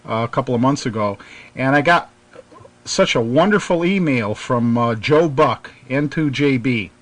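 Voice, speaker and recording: A middle-aged American man hosting a radio show, reminiscing casually in a halting, rambling way. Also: medium pitch, fairly bright tone.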